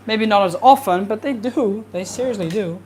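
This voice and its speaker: A young adult livestreamer talks casually from a home fish room, with a soft, relaxing voice. The speech rambles along with easy fillers, in the middle of the pitch range, over a faint background hiss. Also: normal speed. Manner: easygoing, rambling, many fillers. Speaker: fishkeeping streamer. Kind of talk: casual livestream chat while cleaning tanks.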